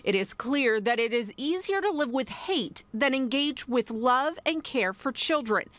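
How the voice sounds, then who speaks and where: A woman reporter reads a scripted TV news voice-over. Her voice sits a little high, and her delivery stays measured and even throughout. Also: dry recording.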